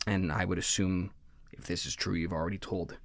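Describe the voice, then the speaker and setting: A low-pitched, melodramatic son played by a man in a comedic audio drama, recorded dry and close. He talks in grandiose, halting phrases, forever suspicious and styling himself a villain. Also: steady tone, creaky, no echo. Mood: incredulous, wary.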